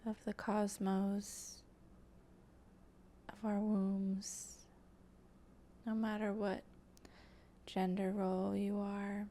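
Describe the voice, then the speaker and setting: A woman leading an improvised guided meditation in a soft, breathy voice that often slips toward a whisper, speaking gently and haltingly with long pauses. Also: mid-range voice, monotone. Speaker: guided meditation host and channeler.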